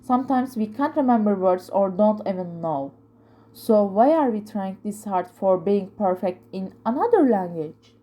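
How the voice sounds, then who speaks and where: A woman with a Turkish accent and a soft-edged, mellow tone. She talks casually as a vlog host, reading out viewers' answers, earnest and a little halting as she corrects herself. Turkish is her native language. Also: medium pitch, expressive. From Turkey.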